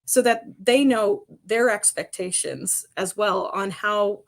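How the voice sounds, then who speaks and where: Commanding woman guest, emphatic and lively. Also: mid-range voice, takes it slowly, vocal fry. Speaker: construction industry guest. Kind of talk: podcast interview answers.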